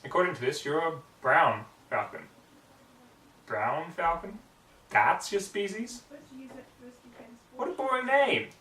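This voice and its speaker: A man voicing a brash, cocky young female pegasus pony from a story, hammy, blunt and excitable, in a roomy, distant recording. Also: on the high side, slow pace, pauses often, pitched above the performer's own voice, full character voice. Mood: musing. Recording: roomy echo, distant microphone.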